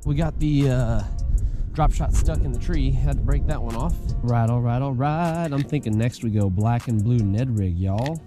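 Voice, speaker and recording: A man's voice: medium pitch, normal speed. Folksy American man vlogging over music. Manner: colloquial, folksy slang. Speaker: fishing vlog host. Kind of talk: casual outdoor vlog chat to viewers. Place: outdoors by a pond. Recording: music laid over speech.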